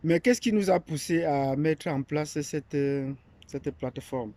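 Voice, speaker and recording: Man from Cameroon, mellow hesitant voice. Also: a little high, a little slow, no echo. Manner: hesitant, many fillers and restarts. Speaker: academic presenting his platform. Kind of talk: unscripted monologue.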